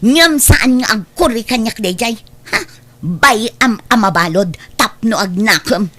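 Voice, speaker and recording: A woman's voice: a little high, expressive, unhurried, pushed and bright, heavily acted. A woman with a Filipino Ilocano accent playing a bold, scheming young woman in an audio drama, with noise behind her. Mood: scolding, conspiratorial. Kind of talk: Ilocano radio drama acting.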